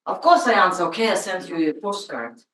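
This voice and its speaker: A woman with a Ukrainian accent, a native Russian speaker, giving an informal, halting and personal lecture as an artist. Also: on the low side, sing-song, a bit bright. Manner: halting, personal, emotional at times. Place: lecture room. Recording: roomy, from far off.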